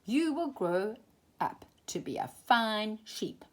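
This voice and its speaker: A woman's voice: mid-range voice, sing-song, slow pace. A woman voicing a protective, doting mother sheep in a children's storybook, coaxing in a motherly way. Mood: comforting.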